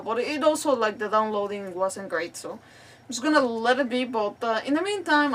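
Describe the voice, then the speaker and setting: Female streamer, higher register, loose unscripted chatter, apologetic and self-mocking. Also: far from the mic. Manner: rambling, self-deprecating, apologetic. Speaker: livestream host. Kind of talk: casual live stream chat.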